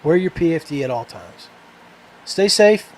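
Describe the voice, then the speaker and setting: Halting man podcasting over background hum. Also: on the high side, lively intonation, poor audio. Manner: halting, trailing off. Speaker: fishing podcast host. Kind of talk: casual rambling podcast talk.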